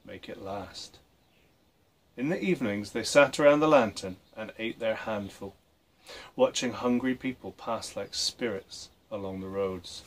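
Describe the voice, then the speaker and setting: A man reading a story aloud for children, with expressive, deliberate phrasing. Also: lowish voice. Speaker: storybook reader and presenter.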